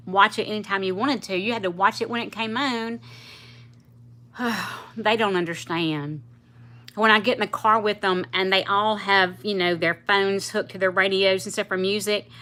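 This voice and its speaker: Woman, late fifties, livestream host; bright, chatty, rambling delivery. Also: medium pitch. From South Louisiana, US. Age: 50s. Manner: chatty, rambling, laughs easily. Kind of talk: casual livestream chat with viewers.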